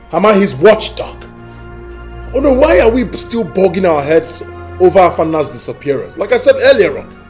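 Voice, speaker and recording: A defiant prince, high voice that swings wildly, sneering, faint score behind. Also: male voice. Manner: defiant, shouting threats, sneering. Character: arrogant hot-headed young prince craving the throne.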